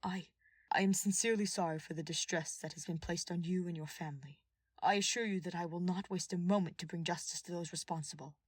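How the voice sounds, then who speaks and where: A woman's voice: fairly low pitch, fairly even intonation, brisk, husky, no room sound, studio quality. Woman playing a formal, commanding young king. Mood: earnest, apologetic. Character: commanding young male king, formal, stern yet earnest.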